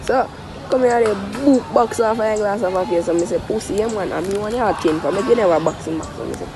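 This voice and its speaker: A young man with a Jamaican accent telling stories casually to friends in an animated, repetitive, slangy way. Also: sits high, quick pace. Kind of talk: casual storytelling among friends. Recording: noisy outdoor recording with traffic.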